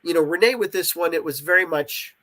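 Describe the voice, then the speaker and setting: A man's voice: on the high side, fairly quick, fairly bright tone. A talkative man explaining his creative work in a casual interview, stressing points by repeating them. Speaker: interviewed writer and creator of interactive audio dramas.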